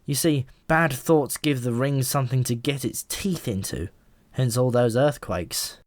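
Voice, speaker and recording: A man's voice: medium pitch, unhurried, no echo, close to an everyday voice. Man voicing a wry, knowing talking pigeon. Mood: explaining, wry. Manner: wry, explanatory, emphatic. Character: talking pigeon, knowing, chatty, easily exasperated explainer.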